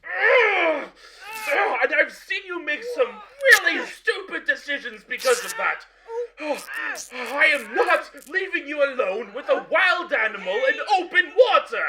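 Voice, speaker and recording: A man's voice: extremely high pitch, big pitch swings, unhurried, crowd noise, exaggerated voice, rough recording. A man playing an exasperated but loyal barbershop owner in a radio play, speaking earnestly and emphatically. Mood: straining, impassioned.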